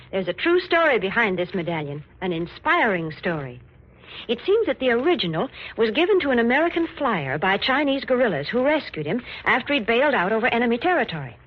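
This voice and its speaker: A woman giving a chatty, enthusiastic 1940s radio sponsor pitch. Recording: old dull radio recording.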